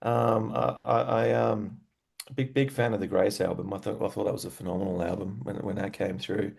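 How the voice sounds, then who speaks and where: A man's voice: on the low side, steady tone, fairly quick, gravelly, a little mellow, breathy edge. Australian man, slangy casual rock storyteller. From Western Australia. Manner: laid-back slangy storytelling, enthusiastic about music. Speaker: rock musician, interview guest. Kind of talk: casual interview chat.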